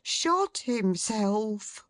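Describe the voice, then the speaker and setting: A woman's voice: medium pitch, animated, slow, no room sound, studio quality, voice pushed up. A woman voicing an agitated, fussy male secretary who blurts out alarming news in breathless exclamations.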